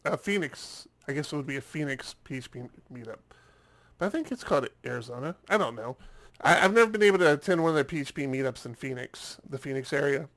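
A man's voice sits a touch high for him. He is stuffed up from a recent cold, and he talks in a loose, rambling way, stumbling over repeated words as he co-hosts a casual chat show. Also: lively intonation, quick pace, fairly bright tone. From United States. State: congested, getting over a cold. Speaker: podcast co-host.

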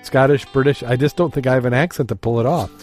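A man's voice: medium pitch, quick pace, darkish tone, faint music behind. A man co-hosting a casual podcast, chuckling and reading a joke aloud. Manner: easygoing, chuckles, self-doubting. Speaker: podcast co-host.

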